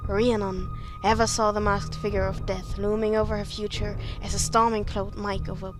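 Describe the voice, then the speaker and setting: A woman's voice: medium pitch, level tone, moderate pace. Woman giving a solemn, theatrical reading over music. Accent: non-native English accent. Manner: solemn, theatrical, with occasional stumbles. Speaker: amateur reader. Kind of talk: melodramatic dramatic reading of overwrought prose.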